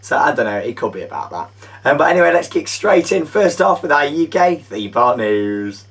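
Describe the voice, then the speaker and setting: A young man hosting a chatty, upbeat theme park news show, his voice gone a little croaky, talking enthusiastically with frequent fillers. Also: a little high, very animated, moderate pace, distant mic, a little hiss or hum. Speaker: host.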